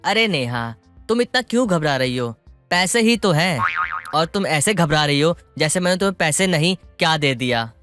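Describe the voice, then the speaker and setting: Man acting calm, reasonable young husband. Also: on the high side, normal speed, dry recording, soft background music. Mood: reassuring, firm. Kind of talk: dramatized Hindi moral story acting.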